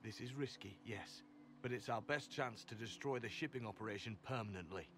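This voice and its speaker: An actor voicing a composed, earnest male agent in a fantasy video game, speaking in a measured, matter-of-fact way. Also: mid-range voice, over music, lightly acted. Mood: businesslike. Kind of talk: video game dialogue acting. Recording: clear background ambience noise.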